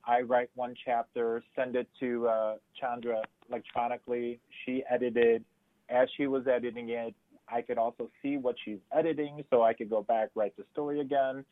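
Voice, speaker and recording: A man's voice: medium pitch, no room sound. Man from Chicago on a tinny phone line. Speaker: chef guest. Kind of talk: casual radio interview.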